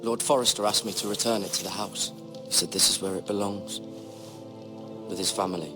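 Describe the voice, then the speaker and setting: Dutiful young squire for fantasy game male actor, wronged, plain-spoken, fairly low register, clear musical score behind. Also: steady tone, a little fast, over music, lightly acted. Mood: earnest, subdued. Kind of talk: dramatic fantasy game voice acting.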